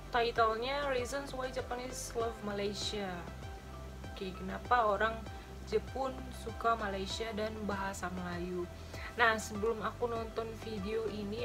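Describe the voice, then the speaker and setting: A chatty young woman from Indonesia talks straight to her viewers, sprinkling in "guys" as she reacts to a clip. She sits close to a desk webcam in a small bedroom, and music plays clearly underneath her voice. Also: medium pitch. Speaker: reaction vlogger. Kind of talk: casual chat. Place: small bedroom with shelves, indoors.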